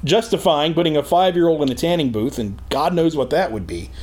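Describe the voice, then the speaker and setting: Casting: booming male co-host, sarcastic deadpan humor, slightly high pitch, studio. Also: fairly quick. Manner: loud, sarcastic, deadpan jokes. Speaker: co-host, political commentator. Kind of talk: casual chat. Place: recording studio.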